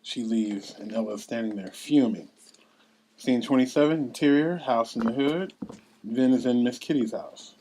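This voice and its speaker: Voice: mid-range voice, normal speed, mellow, slight background noise, low-quality recording. A narrator reading scene headings and stage directions in scripted narration at a table read.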